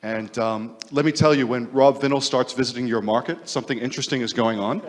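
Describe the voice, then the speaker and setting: A man's voice: medium pitch, brisk. A man from Hong Kong, a fund manager introducing himself on a panel in a friendly, lightly joking way. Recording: long hall reverb.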